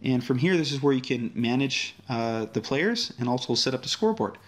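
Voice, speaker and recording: Relaxed man demoing an app. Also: mid-range voice, a little fast. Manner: relaxed, friendly walkthrough with frequent fillers. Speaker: app developer. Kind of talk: casual screen-recorded app demo.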